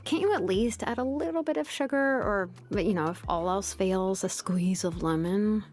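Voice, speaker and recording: A woman voicing a laid-back, sleepy young female blacksmith and name engraver from a video game, languid and nonchalant with soft hesitations. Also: mid-range voice, moderate pace, natural voice. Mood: relaxed, casual. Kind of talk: video game character voice lines. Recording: music behind the voice. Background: music.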